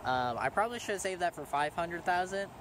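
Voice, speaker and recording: A man's voice: high voice, lively intonation, a little fast, a bit bright. Rambling teenage boy over background noise. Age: teens. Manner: rambling, hesitant, many ums. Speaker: small YouTuber thanking subscribers. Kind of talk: casual vlog to camera.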